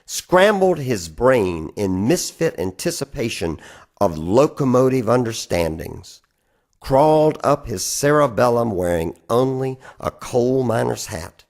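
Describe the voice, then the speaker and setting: A man performing a dramatic spoken-word poetry reading, phrasing deliberately and with theatrical emphasis. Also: medium pitch, a little mellow. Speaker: reader of poetry.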